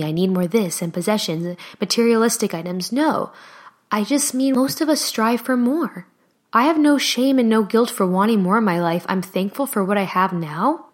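Solo podcast host, young woman, passionate and encouraging, a touch hoarse, speaking into a studio mic. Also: medium pitch. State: voice slightly hoarse. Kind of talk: motivational solo podcast talk. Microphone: studio microphone.

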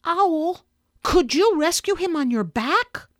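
Lady doing a little boy, eager and caring, high earnest voice, slow and lively. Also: dry recording, clean studio sound, full character voice. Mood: urgent.

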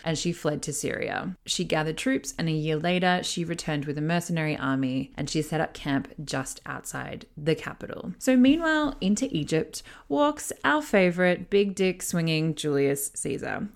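A woman's voice: deep voice, creaky. A woman hosting a casual history talk, telling the story with chatty, irreverent asides. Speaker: history podcast host.

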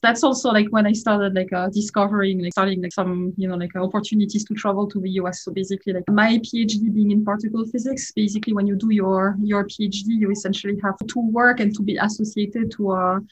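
A woman's voice: mid-range voice, little pitch movement, fast, hardly any pauses. A woman in her forties with a French accent, a startup founder giving an interview and rambling with many fillers. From France. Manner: rambling, heavy use of "like" and "uh". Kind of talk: casual interview talk. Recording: dull, lo-fi sound.

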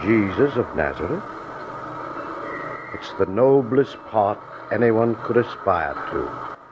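An actor playing a self-important, theatrical stage star with a pompous manner, in 1940s radio drama. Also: male voice, fairly low pitch, expressive, slow. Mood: reverent. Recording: noisy, muffled 1940s radio recording.

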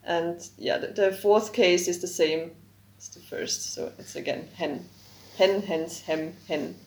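A woman whose first language is German speaks slowly and carefully in a somewhat low voice, spelling out word forms one by one. She is a guest in a podcast interview, and her voice sits in an echoey room. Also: fairly even intonation. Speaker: guest, language project co-creator. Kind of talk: explaining grammar in a podcast interview.

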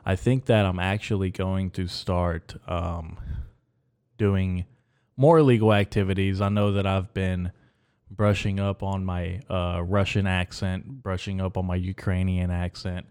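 A man born in Mexico hosting a podcast from his bedroom, rambling through absurd dark jokes in a straight, deadpan delivery with many ums. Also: low-pitched, a little slow, clean tone. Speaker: podcast host. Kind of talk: rambling deadpan comedic monologue.

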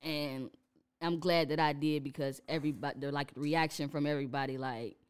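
A young woman with a Chicago accent, a rapper talking casually in an interview, relaxed and laughing often. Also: deep voice, brisk, smooth. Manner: laid-back, laughs often, many fillers. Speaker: rapper guest.

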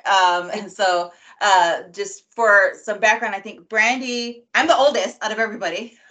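A woman in her forties hosting a casual podcast. She is playful and self-teasing, laughing often between remarks. Her voice sits at a middle pitch for a woman. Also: steady tone, normal speed, pushed and bright. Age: 40s. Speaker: podcast host. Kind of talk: casual chat.

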